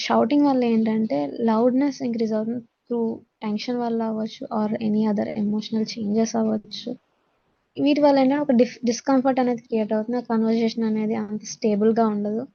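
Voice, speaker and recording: A woman teacher with a Telugu accent gives an instructional lecture, switching between English and her own language. Her voice sits a little high, with a soft, mellow tone and noticeable creak. The audio is dull and lo-fi. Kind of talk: classroom-style lecture, reading English notes and explaining them.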